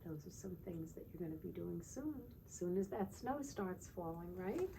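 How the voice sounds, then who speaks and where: Woman, children's storytime teacher. Soft-edged mellow tone, sing-song read-aloud with little questions to the listeners, slight background noise. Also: lowish voice, animated, normal speed, gravelly, poor audio.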